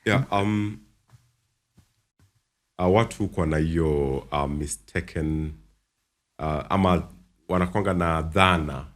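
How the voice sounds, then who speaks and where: A man's voice: low-pitched, slow, long pauses. A young Kenyan man, a voice-over artist, chatting casually on a podcast, explaining with examples and laughing often. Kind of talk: casual podcast chat in mixed Swahili and English.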